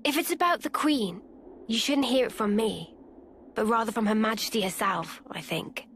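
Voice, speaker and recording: A woman playing a gentle, thoughtful young woman in a video game, speaking carefully and tactfully. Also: fairly high pitch, normal speed, a little hiss or hum. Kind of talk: video game cutscene acting.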